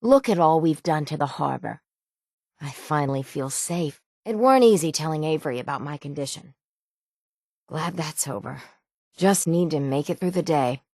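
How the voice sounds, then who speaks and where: A woman playing a gruff, world-weary, sardonic island sailor in a video game, with salty, plainspoken folksy phrasing. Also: deep voice, expressive, normal speed, a little mellow, dry recording, studio quality. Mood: weary, resigned. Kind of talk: video game character dialogue.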